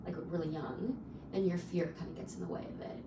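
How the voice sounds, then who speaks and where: A woman's voice: on the low side, steady tone, a little fast, roomy sound, recorded from a distance, over a noisy crowd. A woman in her twenties, a guest chatting casually, with lots of "like" and frequent laughs. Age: 20s. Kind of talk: casual chat.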